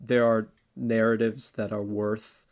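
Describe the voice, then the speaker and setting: A man co-hosting a podcast, discussing politics casually in a halting, informal way and often repeating words. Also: lowish voice, little pitch movement, a little mellow. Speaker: podcast co-host debating politics.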